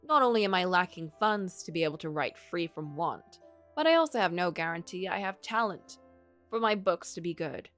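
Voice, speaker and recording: A struggling, self-doubting gentleman writer from a story game, read by a woman. He speaks formally with expressive rises and falls, over faint music. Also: on the low side, moderate pace. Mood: gloomy. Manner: formal, literary, wondering. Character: poor, doubting, melancholy male writer narrating his misfortune.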